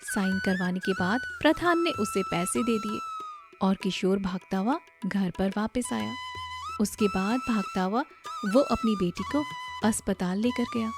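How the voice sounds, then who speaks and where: A woman's voice: medium pitch, expressive, a little slow. A woman narrating a scripted story. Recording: prominent music bed under narration.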